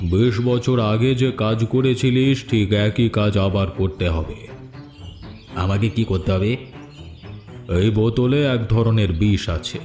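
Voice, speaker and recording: Low-voiced scheming village man, acted out. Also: a little mellow, lightly acted. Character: scheming, mercenary village men plotting a poisoning. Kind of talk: animated fairy-tale cartoon dialogue. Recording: echoey voice track with music.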